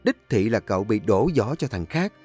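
A man's voice: fairly low pitch, very animated, moderate pace, darkish tone, breathy edge, faint music behind. A man voicing a nosy servant girl gossiping and mocking. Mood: gossipy. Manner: gossipy, sneering.

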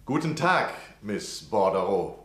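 A courteous gentleman of a radio play, with a low voice. He is eager and effusive, flattering and persuading with polished politeness. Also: slow, exaggerated voice. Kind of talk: radio drama acting.

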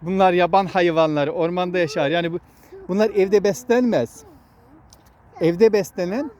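A woman's voice: lowish voice, moderate pace, warm tone, dull audio. A woman hosting a casual outdoor vlog, explaining nature to children in an instructive way and often repeating her words. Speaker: vlog host guiding children. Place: outdoors in a wooded area.

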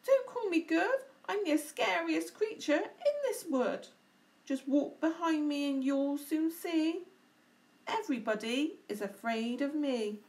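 A woman voicing a small, boastful, quick-witted mouse in a children's storybook, with emphatic delivery. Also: high-pitched, darkish tone, pitched above the performer's own voice. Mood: bold.

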